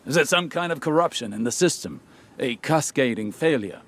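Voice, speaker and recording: An actor playing a composed male scholar in a video game, with a measured, serious and dry manner. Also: medium pitch, animated, a bit bright. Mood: concerned. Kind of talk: video game cutscene acting.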